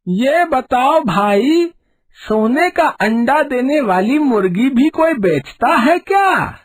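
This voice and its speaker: An actor voicing a greedy, blustering merchant in a cartoon moral story, with drawn-out, emphatic delivery. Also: high voice, lively intonation, full character voice. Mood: eager, smug. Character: greedy, blustering, easily angered merchant Lala.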